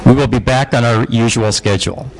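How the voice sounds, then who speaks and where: A man's voice: medium pitch, a little fast, poor audio. A man giving announcements after worship in a church meeting room, hesitating with many fillers.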